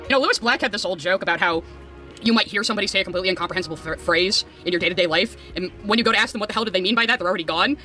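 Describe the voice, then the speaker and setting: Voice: mid-range voice, very fast, sharp tone, faint music behind. A commentator talking casually in an exasperated, sardonic way, telling stories with animation. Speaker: video commentator.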